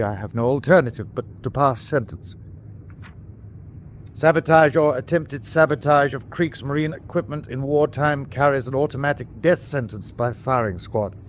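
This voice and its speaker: An actor playing a weary, dutiful German submarine captain in a wartime radio drama, speaking in a formal, measured way. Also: male voice, mid-range voice, a little hiss or hum, natural voice. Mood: stern, formal.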